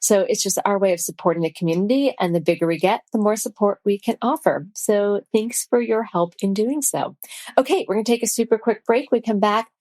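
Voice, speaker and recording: A woman's voice: mid-range voice, fairly quick, a little mellow, dry recording. A woman podcast host reading a scripted, enthusiastic intro and show promos into a close studio mic. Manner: upbeat, enthusiastic, self-described science geek.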